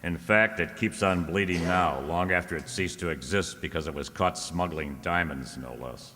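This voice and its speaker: Brief: male actor as sardonic, stubborn radio private eye, low and forceful, clipped delivery, recorded in a reverberant hall. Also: normal speed. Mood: brisk, businesslike. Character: wisecracking, stubborn, suspicious male private detective. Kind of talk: old-time radio detective drama acting. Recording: echoey hall, long reverb.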